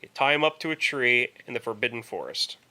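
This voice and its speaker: A man co-hosting a podcast in casual chat, rambling haltingly and repeating words as he goes. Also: medium pitch, expressive, bright, forceful tone, rough recording. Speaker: podcast co-host.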